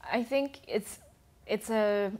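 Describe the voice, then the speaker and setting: A woman's voice: medium pitch, slow, a little mellow. A woman guest on a TV talk show, explaining her views in a thoughtful way with frequent restarts. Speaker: food and travel blogger, guest. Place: TV studio.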